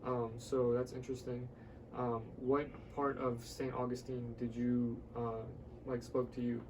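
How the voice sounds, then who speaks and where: A man's voice: mid-range voice, level tone, measured pace, distant mic, background noise. A man hosting a casual conversational podcast interview, often echoing short words of agreement as he listens. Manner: frequent short affirming responses, repeats words.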